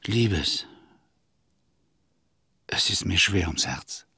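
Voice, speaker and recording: Ageing man, radio play lover, low, gravelly, close and dry, subdued, gentle, weary, heavy-hearted. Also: expressive, extremely slow, no room sound, exaggerated voice. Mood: heavy-hearted, resigned. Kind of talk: intimate radio play dialogue.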